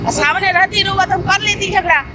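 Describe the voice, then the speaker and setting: A very high-pitched, jealous wife acted by a woman complains and insists in a Bhojpuri accent. She repeats herself in a nagging comedy-skit quarrel, with outdoor traffic and chatter behind her. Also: brisk. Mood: jealous, defiant. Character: nagging, suspicious, jealous village wife with children.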